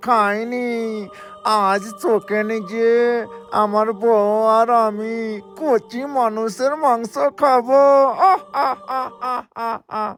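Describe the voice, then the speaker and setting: A man voicing a hungry man-eating ghost in a cartoon, theatrical and gloating, with a drawn-out chanting delivery. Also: very high-pitched, steady tone, fairly bright tone, exaggerated voice. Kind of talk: cartoon villain acting.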